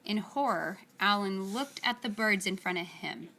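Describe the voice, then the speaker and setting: A woman reading a children's story aloud with expressive, deliberate, dramatic phrasing. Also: mid-range voice.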